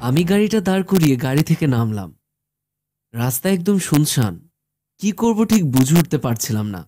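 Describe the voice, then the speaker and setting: Mellow male horror-story narrator. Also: fairly high pitch, sing-song, a little fast. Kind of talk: scripted first-person horror story narration. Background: background music.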